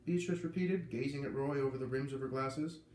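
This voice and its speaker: A man reading a children's novel aloud, lively and putting on voices for the characters. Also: mid-range voice, fairly quick, distant mic. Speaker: reader. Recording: echoey room with faint ambient noise.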